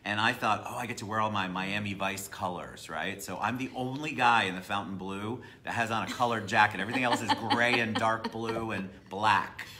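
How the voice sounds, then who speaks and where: A man's voice: on the low side, a little fast, few pauses, pushed and bright, a little hiss or hum. A man hosting a casual live-stream interview show, upbeat and chatty, greeting viewers by name.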